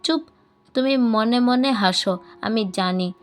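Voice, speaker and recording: A woman's voice: a little high, takes it slowly, soft background music. A woman voicing an indignant, tearful little boy in a story, whiny and demanding. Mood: angry, scolding.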